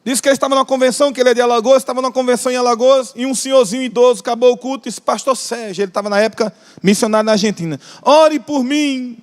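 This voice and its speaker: A man preaching a sermon with a Brazilian Portuguese accent, impassioned, stretching out key phrases and joking with the congregation. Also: extremely high pitch. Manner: impassioned, drawn-out emphatic phrases, jokes with the congregation. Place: large church hall. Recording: long church hall reverb.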